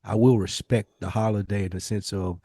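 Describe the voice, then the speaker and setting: A man's voice: lowish voice, fairly even intonation, brisk, dark tone, husky, dry recording, studio quality. A male podcast co-host chatting and debating casually in a slangy, profane, insistent way.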